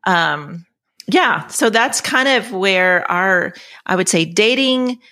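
A woman in her sixties telling personal stories conversationally as a podcast guest, halting and reflective with trailing pauses. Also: medium pitch, animated, sharp tone. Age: 60s.

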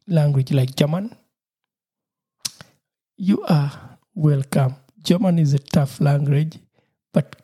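A man with a Ugandan accent and Lusoga as his first language talks through his solo podcast. His voice is dark and slightly husky, and he keeps a cheerful, laughing tone while circling back over his points. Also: on the high side. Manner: cheerful, laughs often, repeats himself. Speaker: podcast host.

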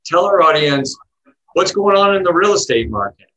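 A man's voice: sits high, lively intonation, normal speed, fairly bright tone, poor audio. A man hosting an interview podcast, introducing a guest and asking upbeat questions. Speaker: podcast host and lawyer.

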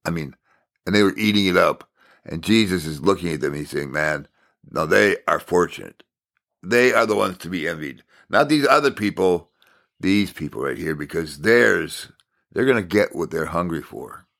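An American man from Minnesota giving an informal sermon as a Bible teacher, telling stories in deliberate, halting phrases with emphatic repetition. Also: low voice, lively intonation, creaky, a bit bright. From Minnesota, United States.

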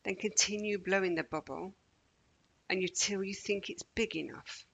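Female meditation guide for children. Soft-edged breathy tone with vocal fry, measured and soothing delivery. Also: mid-range voice, steady tone, poor audio. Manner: gentle, measured, deliberate pauses.

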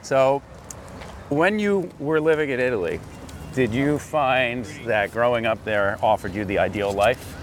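A man interviewing his guest in a relaxed, joking way while walking outdoors in a city park. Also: mid-range voice, a little slow. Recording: busy street traffic noise.

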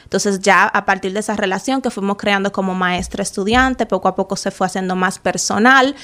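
A woman's voice: mid-range voice, fairly even intonation, brisk, fairly bright tone. A young woman from the Dominican Republic with a Dominican Spanish accent, speaking as a guest in a radio studio interview, earnest and reflective with frequent fillers.

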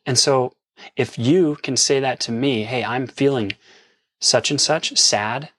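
A man's voice: medium pitch, unhurried, fairly bright tone. A man talks through relationship advice with his partner in an earnest, open way. His voice sits in a middle register. The sound is dry and close, with little room echo. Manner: earnest, self-deprecating, emphatic when quoting. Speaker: podcast co-host. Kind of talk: casual conversational advice. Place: lives in an RV park.

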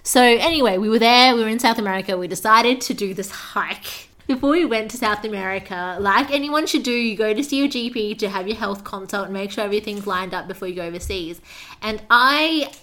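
A young adult woman speaks at a middle pitch in casual podcast conversation. She tells her story as a host would, chatty and loosely structured, with self-deprecating humour. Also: a little fast, bright, forceful tone. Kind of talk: casual chat, telling a travel anecdote.

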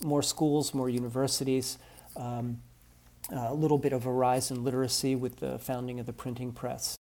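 A man gives interview answers about history at a middle pitch. He talks slowly and thoughtfully, stopping often on ums and uhs. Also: little pitch movement, darkish tone. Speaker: expert guest, historian.

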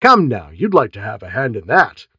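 A man voicing a hearty, condescending male police inspector who talks loudly and persuasively. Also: mid-range voice, sing-song, moderate pace, husky, dry recording, studio quality, full character voice. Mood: bluff.

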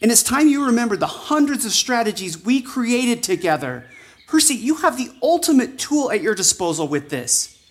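An actress playing a worried, protective old friend in an audio drama, speaking earnestly and insistently. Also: female voice, on the high side, very animated, moderate pace, breathy edge. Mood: insistent, exasperated. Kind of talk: fiction podcast drama acting.

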